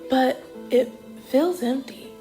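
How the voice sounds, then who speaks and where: A woman's voice: high-pitched, takes it slowly, warm tone, faint music behind. A woman playing a hesitant, yearning traveller in a radio drama, speaking with wonder. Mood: regretful. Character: hesitant, yearning female traveller through a simulation.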